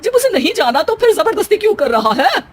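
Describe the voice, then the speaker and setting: A woman's voice: extremely high pitch, very animated, fairly quick. A woman voicing a bossy older mother-in-law in a comic family story, scolding and taunting dramatically.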